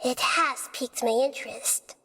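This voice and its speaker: An actress playing a small, wise young goddess in a video game, speaking in a measured, poised and articulate way. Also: female voice, high voice, gravelly, background noise. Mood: firm, composed. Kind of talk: dramatic video game voice acting. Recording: long reverberant hall effect.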